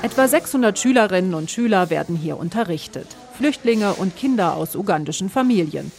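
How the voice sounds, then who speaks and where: A woman reporter reading scripted documentary narration in a measured, even way. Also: medium pitch, gravelly, over music.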